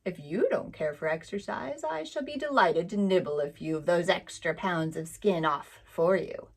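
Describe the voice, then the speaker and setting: A woman voicing a sleek, vain male tiger in a storybook, speaking slowly and slyly. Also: fairly low pitch, animated. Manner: smooth, slow, sly.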